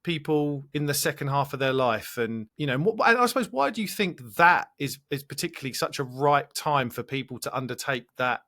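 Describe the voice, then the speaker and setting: A man's voice: mid-range voice, expressive, brisk, hardly any pauses, bright, forceful tone. A man hosting a podcast interview, enthusiastic and asking long, rambling questions. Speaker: podcast host.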